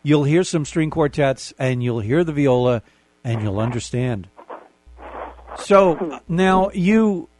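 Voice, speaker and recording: A man's voice: on the high side, lively intonation, slow, slight background noise. A man hosting a community radio interview, a conductor who talks in long reflective asides. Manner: rambling, reflective, warm asides.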